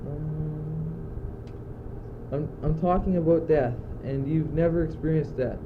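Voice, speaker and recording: A man's voice: fairly high pitch, fairly even intonation, measured pace, close to an everyday voice. A teenage male student acting an anguished, philosophical courtier facing death in an amateur radio play, speaking in earnest declamation. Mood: grave, intense. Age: teens. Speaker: student actor. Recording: muffled, noisy old amateur recording.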